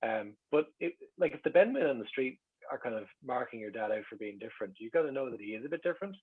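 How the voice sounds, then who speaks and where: A man's voice: mid-range voice, lively intonation, fast talker, breathy edge. A middle-aged Irish man telling casual, rambling anecdotes as an interview guest, wry and self-deprecating, in a slightly lo-fi recording. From Ireland.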